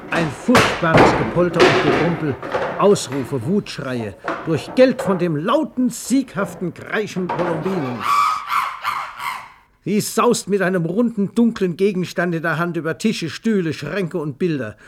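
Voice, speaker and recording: Higher-voiced man narrating a dramatic story. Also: animated, unhurried, very noisy.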